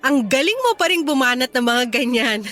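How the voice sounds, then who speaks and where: A woman's voice: high voice, sing-song, fairly quick, a bit bright. An actress playing a wistful, teasing young woman reunited with her ex in a conversational, emotive Tagalog radio drama.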